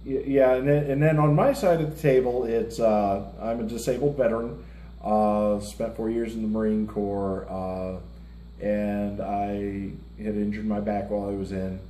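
A blunt, self-mocking middle-aged man chats casually across a table, his voice low and mellow. He is picked up by a far camera in a roomy indoor space with a faint hiss behind him. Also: fairly even intonation, moderate pace. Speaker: co-host of a music reaction show. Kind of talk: casual chat. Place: indoor room, seated at a table before a lit curtain.

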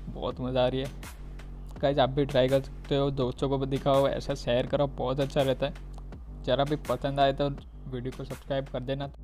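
A man's voice: mid-range voice, darkish tone. A man with an Indian accent giving casual, informal commentary on a video game, talking directly to his viewers. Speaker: gaming video creator.

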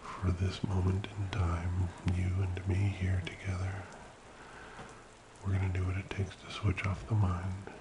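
A man speaking very softly as an ASMR host, slow and soothing, often slipping into a whisper in a creaky murmur. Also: low-pitched, monotone, noisy background. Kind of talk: soft-spoken ASMR personal attention, slow guided relaxation.

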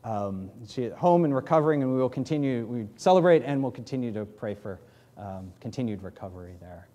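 A man in his thirties with a New England accent, a pastor giving church announcements and leading prayer at a podium mic, speaking haltingly with many fillers. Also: mid-range voice, animated, mellow. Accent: New England American. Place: large church sanctuary, in doors.

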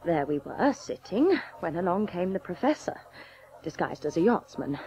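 An indignant, insistent woman eyewitness in radio comedy. Her voice is on the low side with lively swings, on a slightly dull recording. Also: normal speed. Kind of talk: radio comedy drama acting.

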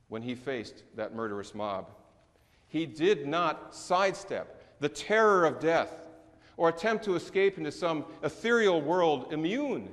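A middle-aged male pastor preaching a sermon in a large church sanctuary, with measured delivery, slow emphatic stresses and falling endings. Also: fairly high pitch. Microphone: distant camera recording in the sanctuary. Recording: long church reverb.

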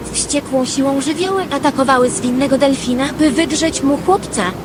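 A woman playing a wistful dreamer, narrating in an expressive literary storytelling manner for an audio drama. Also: sits high, normal speed, background noise, lightly acted. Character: Lady Number Two, wistful female dreamer telling her dream.

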